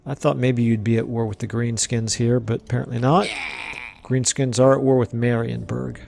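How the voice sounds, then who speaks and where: A man commentating his strategy game play casually, thinking aloud with fillers, his voice going hoarse. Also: mid-range voice, level tone, normal speed, a little mellow, a little hiss or hum. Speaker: let's play host.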